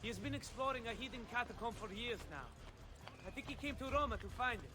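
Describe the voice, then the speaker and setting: A man's voice: very high-pitched, fast. A man voicing a cheeky young Italian apprentice with an Italian accent in a video game, glib and irreverent. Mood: cheeky, breezy. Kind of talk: video game cutscene acting. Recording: music behind the voice.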